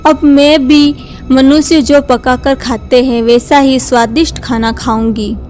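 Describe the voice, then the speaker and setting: A woman voicing a hungry demoness who poses as a helpless young woman in a cartoon story, with drawn-out delivery. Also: sits high, steady tone, a little mellow, faint music behind. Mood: greedy delight.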